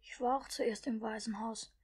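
Performer as a breathy, timid orphan boy. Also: mid-range voice, fairly even intonation, darkish tone. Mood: weary, sad. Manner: timid, faint, breathy, halting. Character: meek, frail, polite starving orphan boy. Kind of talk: 1950s German radio play acting.

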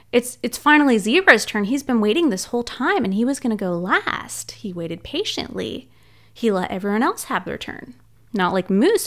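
High, slow, animated storytime woman. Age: young adult. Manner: slow, animated storytime delivery with playful sound-outs. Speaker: children's librarian. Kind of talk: reading a picture book aloud to children. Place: small home room, indoors. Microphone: large studio mic on arm in front of her.

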